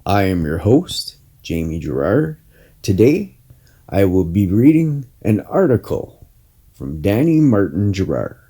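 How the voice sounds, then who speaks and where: A man reading an inspirational article as a podcast host, in a measured, earnest manner with deliberate phrasing. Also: low voice, big pitch swings, breathy edge. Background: soft plucked guitar music.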